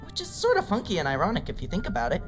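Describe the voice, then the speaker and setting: A woman's voice: fairly low pitch, huge pitch swings, very fast. A woman playing a federal technical analyst in an audio drama, with a wry, rambling, informal way of talking. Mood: wry, businesslike. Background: music bed.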